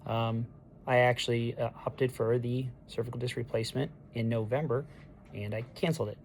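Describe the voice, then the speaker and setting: A hoarse man in his thirties, his voice gravelly and worn the day after surgery. He talks in a flat, level way, stopping and restarting often with ums. Faint noise sits behind him in what sounds like a hospital room. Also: mid-range voice. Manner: halting, with many ums and restarts. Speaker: patient sharing a recovery diary. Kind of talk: casual video diary.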